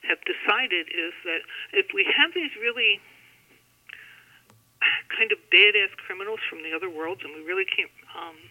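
A woman being interviewed as an author, explaining things in a deliberate, halting way with pauses between phrases over a thin telephone line. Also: mid-range voice. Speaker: author guest. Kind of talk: interview, explaining own novel.

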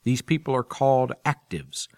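A man's voice: mid-range voice, animated, normal speed, creaky, no echo. A man hosting a podcast, presenting and interviewing in a prepared, orderly way into a close mic. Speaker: podcast host.

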